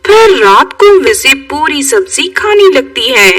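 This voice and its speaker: A woman narrating children's moral tales in an expressive storytelling style. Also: high voice, a little slow, no echo, recorded from a distance.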